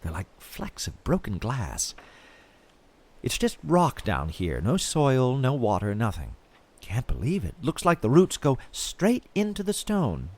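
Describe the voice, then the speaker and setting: A man's voice: mid-range voice, huge pitch swings, measured pace, darkish tone, exaggerated voice. A man playing an obsessive, chatty podcaster who confides in his listeners about his treasure-hunting expedition in an audio drama. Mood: curious, absorbed. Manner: theatrical, confiding, addresses listeners directly. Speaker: podcast host in audio fiction. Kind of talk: audio fiction monologue as a podcast host.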